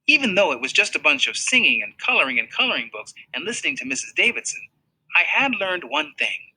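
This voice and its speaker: A man reading a children's novel aloud in an expressive storytelling manner. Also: on the high side, husky, poor audio. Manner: expressive storytelling, voices for characters. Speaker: reader.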